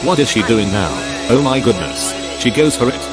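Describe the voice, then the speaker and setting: A man's voice: medium pitch, lively intonation, normal speed, natural voice. A man playing an arena co-host who gives dramatic play-by-play commentary on fantasy fights like a showman. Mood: excited. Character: excitable male arena commentator, a sportscaster co-host. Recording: loud music behind the commentary.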